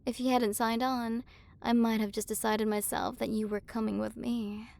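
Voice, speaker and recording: Casting: actress for a haughty warrior queen, tsundere and easily flustered, secretly tender, higher-pitched female voice, for romantic ASMR roleplay. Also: little pitch movement, normal speed, gravelly. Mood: tender, softened. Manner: haughty but flustered, softening into intimate murmurs. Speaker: voice actor.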